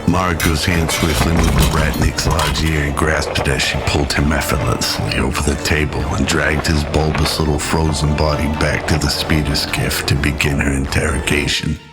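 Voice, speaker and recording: A man narrating a scripted radio drama in a measured, dramatic storytelling manner. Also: a bass voice, level tone, poor audio. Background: music.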